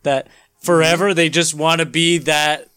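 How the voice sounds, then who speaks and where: A man's voice: a little high, steady tone, a little slow, pushed and bright, clear, resonant tone, no room sound. A man hosting and narrating a comic book dramatic reading in a playful, theatrical way, slipping into casual chat. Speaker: podcast host and narrator. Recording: music behind the voice.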